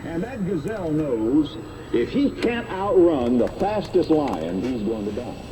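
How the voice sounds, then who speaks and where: A man's voice: very animated, unhurried, crowd noise. A man preaching a fervent sermon over the radio, exhorting emphatically and repeating his phrases. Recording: noisy shortwave radio played through boombox.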